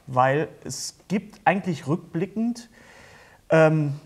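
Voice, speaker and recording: A middle-aged man with a fairly high voice hosts a talk show. He speaks casually, feeling his way through sentences and rewording them as he goes. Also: lively intonation, slow pace. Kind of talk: casual interview hosting. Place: indoor studio talk-show set, seated at a table.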